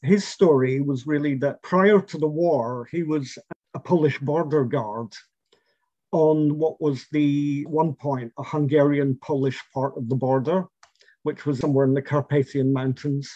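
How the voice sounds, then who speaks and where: A middle-aged man recalls his family's past in an oral history interview. He speaks in a measured, reflective way, with fillers and restarts. The recording is dry and a little dull and lo-fi. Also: fairly high pitch, steady tone, dark tone, no echo. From Scotland.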